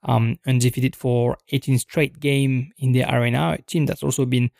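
A man's voice: mid-range voice, level tone, normal speed, vocal fry, a little mellow, no echo, clean studio sound. A young man talking through football news on a podcast, hesitant and thoughtful, with many ums and restarts. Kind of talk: unscripted football commentary podcast.

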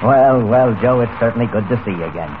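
An actor playing an excitable, blustery middle-aged newspaper editor in 1940s radio style. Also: male voice, medium pitch, fast talker, close to an everyday voice. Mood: hearty, interested. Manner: blustery, emphatic, exclamatory. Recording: noisy, tinny old radio recording.

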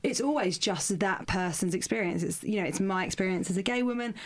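A woman hosting a podcast interview, chatty and encouraging, quick to chime in with agreement. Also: mid-range voice. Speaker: podcast host. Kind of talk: casual interview chat.

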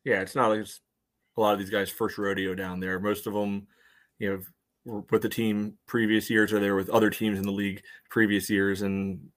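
A man with a fairly low voice co-hosts a soccer fan show. He chats casually, qualifying his points as he goes in a conversational, slightly hesitant manner. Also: level tone, brisk. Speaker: soccer fan podcast co-host. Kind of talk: casual sports talk.

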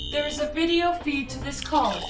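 A woman plays a female household AI in a science fiction audio drama. Her voice is very high, formal and precise, dutiful and literal in every answer. Music plays clearly behind her. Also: normal speed, natural voice. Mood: dutiful, neutral. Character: polite, literal-minded female AI home assistant, Ursa.

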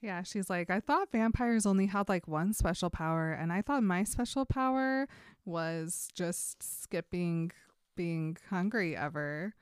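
A young woman co-hosting a podcast, chatting casually as she retells a book's scenes with quick put-on quotes and frequent laughs. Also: lowish voice, clean tone, dry recording, studio quality. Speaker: podcast co-host. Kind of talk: casual book discussion chat.

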